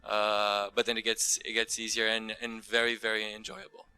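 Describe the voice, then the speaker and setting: Bright, even-toned young Brazilian man. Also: fairly low pitch, normal speed, smooth. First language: Portuguese. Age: young adult. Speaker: student panelist. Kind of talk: casual spoken testimonial at a Q&A.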